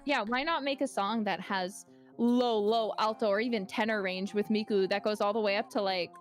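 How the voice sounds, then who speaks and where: A woman's voice: mid-range voice, steady tone, normal speed. A young woman guest singer chatting casually, effusive and rambling, often restarting her sentences. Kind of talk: casual chat. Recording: faint music behind the voice.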